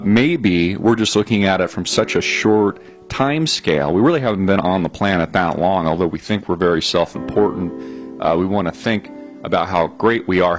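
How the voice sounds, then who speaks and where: A man hosting his own video channel, musing aloud to viewers in a reflective monologue, with frequent "uh"s and restarts. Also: on the low side.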